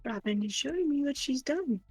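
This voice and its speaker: A woman's voice: high-pitched, expressive, brisk, a little mellow. A woman co-hosting a stream in casual chat, affectionate and encouraging as she talks. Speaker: stream co-host helping with the chat.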